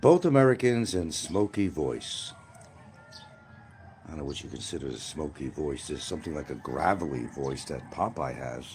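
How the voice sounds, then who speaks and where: A man with a very deep, low voice talks bluntly on a phone livestream from outdoors beside a field. He rambles and repeats himself as he answers commenters. Faint outdoor sounds and birds drift in behind him. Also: lively intonation, normal speed, darkish tone, a little hiss or hum. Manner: blunt, combative, repetitive. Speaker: livestream host.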